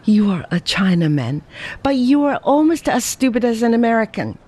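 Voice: mid-range voice, sing-song, normal speed, pitched above the performer's own voice. A reader voicing a scolding, indignant young American woman. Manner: sharp, scolding.

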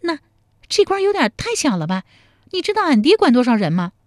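A feisty young village girl from an old tale, bossy and teasing, who scolds in a shrill, high, quick voice, as a woman narrator puts her on. Her pitch swoops wildly, and the dry reading leaves no room sound. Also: sing-song, dry recording.

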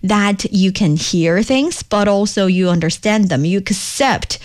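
A woman whose first language is Chinese, hosting a radio language show and explaining words in a lively, chatty way from the studio. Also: mid-range voice, unhurried. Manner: lively, explanatory, lots of asides. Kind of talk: casual studio chat teaching Chinese.

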